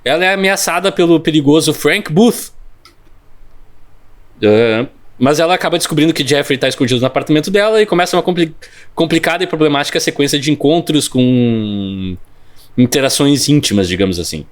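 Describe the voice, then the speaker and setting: A man's voice: fairly high pitch, animated, a little fast. A man co-hosting a casual film podcast, reading a plot summary aloud with joking asides and occasional stumbles. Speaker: podcast co-host.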